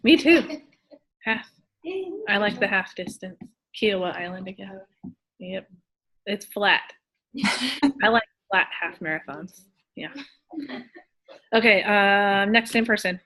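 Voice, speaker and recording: Woman teacher calling on students, unhurried, laughs easily. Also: mid-range voice, poor audio. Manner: relaxed, laughs often, joking. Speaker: course instructor. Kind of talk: leading class introductions.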